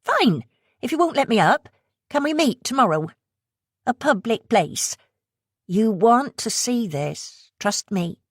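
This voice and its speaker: A sly, pushy schemer in a thick West Country accent, as a woman reader puts her on, pitched well above the reader's own voice. She rushes her words out with a subtly mocking edge and stays lively and bouncing in pitch, read close and dry for an audiobook. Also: husky, no room sound, exaggerated voice. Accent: West Country English.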